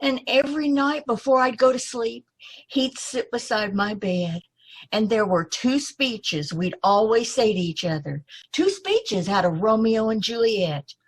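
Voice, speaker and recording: Radio play ingénue played by a woman: young, earnest, hesitant and courteous, raised pitch, rough-edged tone. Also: animated, a little slow, rough recording. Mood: earnest, hopeful. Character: earnest, anxious, polite young woman seeking her lost brother. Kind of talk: amateur old-time radio play acting.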